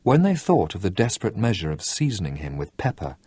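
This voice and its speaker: A man reading a novel aloud in an expressive audiobook style, with lively, dramatic delivery and slowed emphasis. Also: low voice, breathy edge, dry recording.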